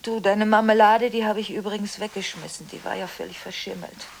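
An actress playing a distraught, contradictory woman in a radio drama, talking on the phone in a halting, agitated way with repeated words and broken-off phrases. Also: medium pitch, fairly even intonation, creaky, slight background noise, natural voice. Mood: hurt, reproachful. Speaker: lead actress in a radio play. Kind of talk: 1980s German radio drama acting, telephone monologue.

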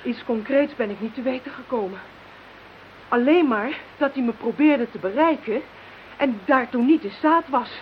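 A woman playing a sensitive, excitable telepath in a radio drama. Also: on the high side, normal speed, close to an everyday voice. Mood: thoughtful. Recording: dull, hissy radio drama recording.

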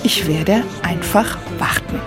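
Woman w/ lowish voice reading a reflective radio feature story, music clearly under it. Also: slow. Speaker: narrator and host playing a wish-granting fairy. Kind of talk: literary first-person radio feature narration. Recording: music behind the voice.

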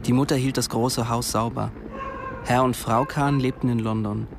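A man's voice: mid-range voice, fairly even intonation, moderate pace, creaky, noisy background. A man reading scripted literary narration for a radio drama.

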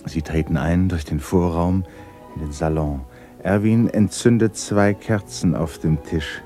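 An actor playing an intimate narrator of a melancholy love story in a 1960s radio play, hushed and measured. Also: male voice, sits low. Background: music.

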